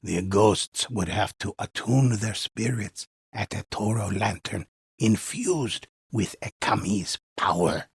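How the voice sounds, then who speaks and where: An old teller of dark legends for a video game. His voice is low and very husky, with a slow, deliberate delivery. He speaks gravely and solemnly, as dramatic scripted narration. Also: no echo, clean studio sound, heavily acted. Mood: grave, ominous. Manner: slow, solemn, measured storytelling. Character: old male storyteller, grave and solemn, telling ghost legends.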